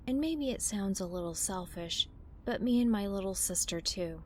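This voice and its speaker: A woman's voice: medium pitch, animated, moderate pace, warm tone, close to an everyday voice. An actress playing a guarded, troubled teenage girl who talks in a wry, dismissive way. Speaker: daughter.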